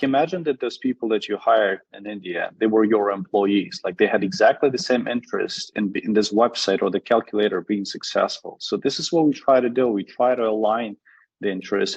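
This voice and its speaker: Husky low-voiced man from Ukraine. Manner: earnest, explanatory, uses many fillers. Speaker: guest, company CEO. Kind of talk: interview answers. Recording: dull lo-fi audio.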